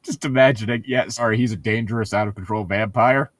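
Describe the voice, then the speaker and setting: A man's voice: fairly low pitch, fairly even intonation, fairly quick, dry recording. A man bantering casually as he reads a novel aloud, swearing freely and heckling the text. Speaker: co-host of a comedic read-aloud show.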